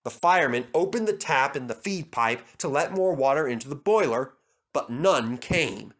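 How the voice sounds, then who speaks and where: Animated male children's storybook narrator. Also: medium pitch, normal speed.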